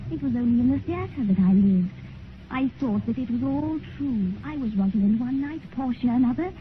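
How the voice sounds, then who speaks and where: A woman's voice: fairly high pitch, animated, normal speed, close to an everyday voice. An actress playing a naive, lovestruck young stage girl, impassioned and theatrical, in a 1950s radio drama. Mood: fervent, confessing. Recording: tinny 1950s radio recording.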